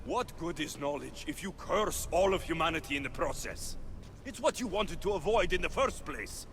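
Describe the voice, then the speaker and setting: A man's voice: on the high side, lively intonation, normal speed, a little hiss or hum. An actor playing a principled, cautioning man in a video game cutscene, reasoning with impassioned conviction. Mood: pleading. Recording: loud music under the dialogue.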